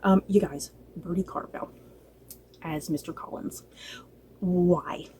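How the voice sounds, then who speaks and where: A woman's voice: fairly low pitch, fairly even intonation, slow pace, warm tone, a little hiss or hum. A woman giving a casual, gushing review as a vlogger, talking directly to viewers in an informal, playfully exasperated way. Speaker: book and drama review vlogger. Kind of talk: casual review chat to camera.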